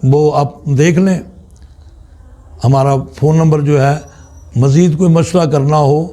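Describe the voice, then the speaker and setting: A man with a Pakistani accent giving a religious teaching talk as a spiritual guide, in deliberate, halting phrases with pauses. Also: fairly high pitch, fairly even intonation, dark tone.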